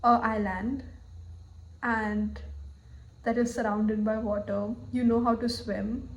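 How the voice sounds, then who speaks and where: A woman's voice: fairly high pitch, little pitch movement, slow, reverberant, poor audio. A woman in her twenties with an Indian English accent, thinking aloud candidly in a personal video journal, often saying "like" and "you know". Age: 20s. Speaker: vlogger.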